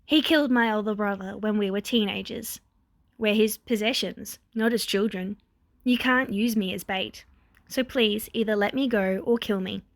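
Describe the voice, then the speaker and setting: A woman's voice: mid-range voice, moderate pace, no room sound, studio quality, lightly acted. A woman voicing a composed young woman held hostage, a protective big sister, polite but firm with emotion held back. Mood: controlled, grim. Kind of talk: dramatic fan fiction reading.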